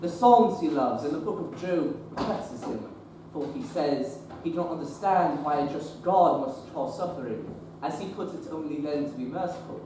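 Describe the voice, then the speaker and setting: A man's voice: on the high side, moderate pace, natural voice. A young man acting an earnest, pious Victorian bishop in a stage play, formal and sermonizing. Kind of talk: teen stage play performance. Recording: echoey stage recording from far off, noisy.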